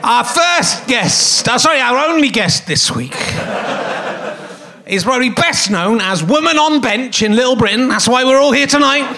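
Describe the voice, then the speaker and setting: A man's voice: very high voice, animated, moderate pace, gravelly, pushed and bright. A middle-aged man with a snotty cold hosting a comic live podcast on a theatre stage, rambling and self-mocking with lots of fillers. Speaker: podcast host.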